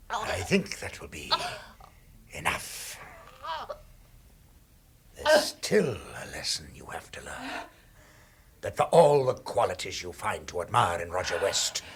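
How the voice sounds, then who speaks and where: An actor playing a cold, controlling crime boss in a radio drama, husky, measured, condescending and threatening. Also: male voice, mid-range voice, a bit bright, exaggerated voice. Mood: quietly threatening. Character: cold, menacing, controlling male crime boss, cruelly possessive.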